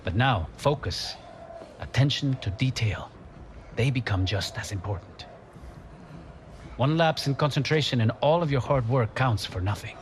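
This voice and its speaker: A man's voice: medium pitch, lightly acted. Stern male football manager played by an actor, noisy background. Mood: rousing, focused. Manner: measured, deliberate speech-making. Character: stern, earnest male football manager rallying his team. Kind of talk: scripted video game acting.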